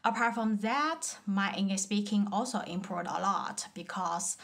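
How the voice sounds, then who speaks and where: A woman's voice: mid-range voice, measured pace, creaky, fairly bright tone. Girl student, mid voice, plain and appreciative. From China. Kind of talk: casual testimonial.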